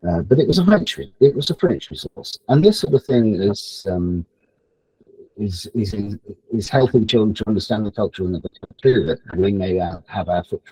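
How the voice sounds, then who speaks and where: A man speaking informally at an online event for teachers, halting and repeating phrases as he thinks aloud. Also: lowish voice, animated, dark tone, husky, dry recording, low-quality recording. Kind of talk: informal presentation, advice to teachers. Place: online event, close dry sound.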